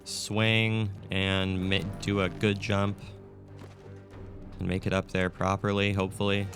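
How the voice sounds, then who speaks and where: A man with a scratchy, raspy throat, casually commentating a video game as he plays. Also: sits low, completely flat delivery, unhurried, a bit bright, soft background music. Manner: chatty, thinks aloud with fillers. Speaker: gameplay commentator. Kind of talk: casual let's-play commentary.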